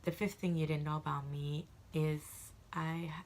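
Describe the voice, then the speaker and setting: A woman's voice: deep voice, level tone, normal speed, darkish tone. A woman in her twenties from Egypt, chatting casually to camera as a vlogger, rambling with frequent fillers and restarts.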